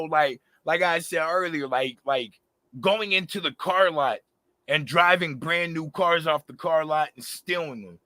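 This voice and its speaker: A raspy-voiced man in his thirties, a rapper talking animatedly and passionately in a casual interview, full of slang and fillers. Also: fairly high pitch, pushed and bright, no room sound.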